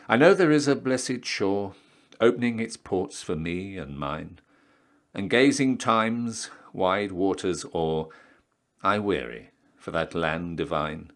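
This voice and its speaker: A man reciting poetry in a measured, solemn and theatrical way, often letting his line endings drop to a hush. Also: deep voice. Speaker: poetry reader, town crier.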